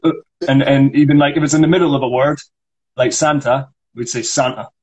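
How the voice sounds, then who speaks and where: A man's voice: mid-range voice, fairly even intonation, fairly quick, a little mellow, breathy edge. A young Scottish man on a live stream, encouraging and explaining pronunciation step by step. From Scotland. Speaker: Scottish actor teaching accent. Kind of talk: casual live-stream chat. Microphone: phone or webcam on a live stream.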